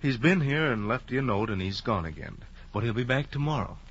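An actor playing a kindly hospital doctor with a brisk, soothing bedside manner, in a dull lo-fi recording. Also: male voice, medium pitch, lively intonation, a little hiss or hum, lightly acted.

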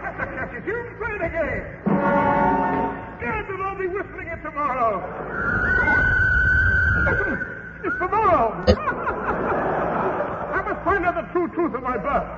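A man playing an excitable, gullible young hero in a radio comedy, stammering and giggling. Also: extremely high pitch, measured pace, pauses often, muffled recording, over loud music, a loud crowd, full character voice. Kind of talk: 1950s radio comedy acting. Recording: old radio broadcast, distant mic, echo, hiss.

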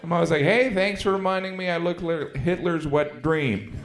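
A man's voice: on the high side, normal speed, noisy background. A man doing a stand-up comedy set on a club stage, delivering dry, self-deprecating punchlines. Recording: echoey club with loud crowd laughter. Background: audience laughing.

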